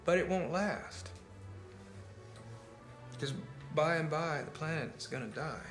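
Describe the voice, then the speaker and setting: A man's voice: a little high, a little slow, lightly acted. An actor playing a rambling, fatalistic party guest who holds forth philosophically in a naturalistic film monologue, building his argument step by step in a conversational lecture. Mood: somber, musing. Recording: roomy film dialogue with music behind. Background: music.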